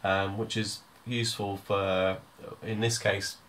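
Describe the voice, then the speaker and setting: A man giving a hands-on music software tutorial, explaining step by step in an informal, hesitant way. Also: fairly low pitch, little pitch movement, takes it slowly, a little hiss or hum, rough recording.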